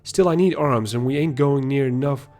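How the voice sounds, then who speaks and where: A man voicing a gruff one-armed veteran sergeant in a storybook reading, with a rough folksy dialect and a chuckle. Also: mid-range voice, moderate pace, darkish tone, faint music behind, close to an everyday voice.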